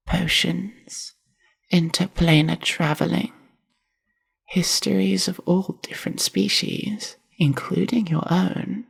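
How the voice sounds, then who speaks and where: A woman voicing a reclusive, awkward spider-woman librarian in a whispery, husky ASMR roleplay, with a hesitant, dryly deadpan delivery. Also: on the low side, full character voice.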